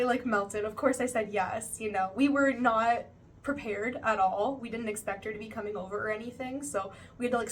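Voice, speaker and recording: A young woman chatting casually in a monthly recap vlog, full of "like" and "you know", with a slightly stuffy nose. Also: on the high side. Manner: chatty, uses many fillers like "like" and "you know". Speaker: vlogger. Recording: voice a bit far from the mic, faint background noise.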